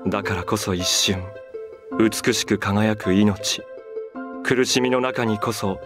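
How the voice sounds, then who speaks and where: An actor playing a serene, weary, ancient master in a video game cutscene, speaking in a measured, soft-spoken, reflective way. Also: male voice, fairly low pitch, fairly even intonation. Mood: wistful, gentle. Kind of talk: dramatic game cutscene acting. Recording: game music behind the voice.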